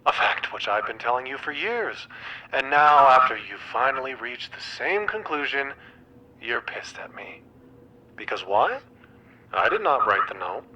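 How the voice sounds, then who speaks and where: An actor playing a dramatic, needy, exasperated geeky best friend in a game, with a theatrical, emphatic, rambling delivery. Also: male voice, mid-range voice, expressive, a little slow, sharp tone, exaggerated voice, poor audio. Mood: exasperated, defensive.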